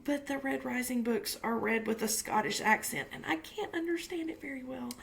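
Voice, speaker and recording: A woman's voice: high-pitched, normal speed, gravelly. A woman co-hosting a casual book podcast, talking with gushing enthusiasm and plenty of "um"s. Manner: gushing and chatty, with many fillers. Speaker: podcast co-host. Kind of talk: casual chat.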